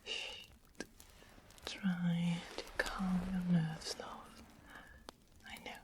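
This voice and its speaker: A woman's voice: on the low side, flat delivery, very slow. A woman playing a sweet-talking, sinister witch in a whispered ASMR fantasy roleplay, soothing and coaxing as she goes. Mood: soothing, sly.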